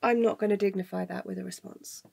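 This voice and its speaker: An actress playing a pedantic, logical, wearily patient woman with a precise, deadpan manner in a comedic audio drama. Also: medium pitch, fast, vocal fry, slightly rough. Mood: dry, dismissive.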